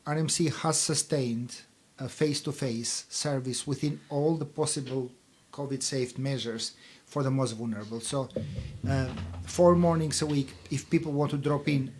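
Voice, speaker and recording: Hesitant Albanian-native man, measured. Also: mid-range voice, darkish tone. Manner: measured, hesitant with many fillers. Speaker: charity chief executive. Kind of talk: radio interview answers.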